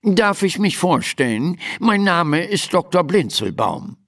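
A man's voice: high-pitched, very animated, unhurried, fairly bright tone, voice pushed up, exaggerated voice. A man voicing a tiny, grumpy and fussy gnome doctor in a children's story, pompous and easily affronted.

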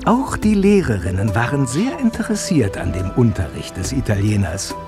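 A man's voice: mid-range voice, moderate pace. A man narrating an audio drama for young listeners in a storytelling manner. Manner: storytelling, expressive. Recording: narration over music.

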